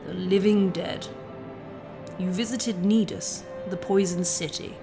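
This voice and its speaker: Slow, grave alien woman healer. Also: lowish voice, fairly even intonation, vocal fry, over music. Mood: grave, blunt. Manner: solemn, deliberate. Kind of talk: science fiction audio drama acting.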